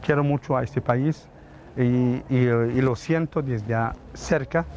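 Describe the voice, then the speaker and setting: A man's voice: medium pitch, slow, darkish tone. Earnest man, noisy street behind. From Palestine. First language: Arabic. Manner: earnest, emphatic, repetitive phrasing. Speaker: immigrant interviewee. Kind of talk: heartfelt interview testimony.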